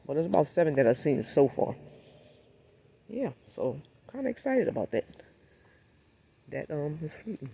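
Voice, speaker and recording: A man with a raspy, hoarse voice from a cold, giving a laid-back, rambling walkthrough of his backyard garden and talking straight to his viewers. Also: on the high side, big pitch swings, normal speed, pauses often, warm tone. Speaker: gardening vlogger. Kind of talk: casual walkthrough vlog.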